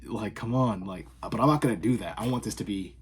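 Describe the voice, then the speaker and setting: A young man from the Boston area, vlogging in casual, sarcastic rambles that swing from loud outbursts to whispers, recorded on his phone in his bedroom. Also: lowish voice, fairly quick, a little hiss or hum. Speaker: fragrance vlogger. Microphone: phone camera.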